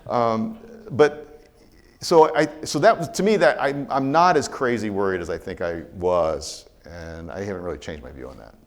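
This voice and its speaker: A man's voice: fairly low pitch, moderate pace. A man answering an audience question at a talk, rambling and hesitant but frank. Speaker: economist speaker.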